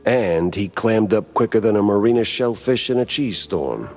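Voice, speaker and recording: An actor playing a wisecracking, deadpan hard-boiled mouse detective in a noir cartoon game. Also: male voice, fairly low pitch, normal speed, faint music behind. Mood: wry. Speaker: private investigator protagonist.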